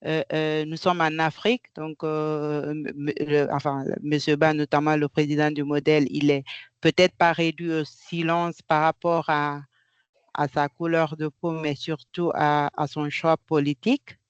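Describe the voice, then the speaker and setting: A woman's voice: deep voice, level tone, a little slow, clear, resonant tone, dry recording, highs cut off. A woman from Guinea asking a question in an online conference over a video call, hesitant with many fillers and restarts. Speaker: audience member asking a question.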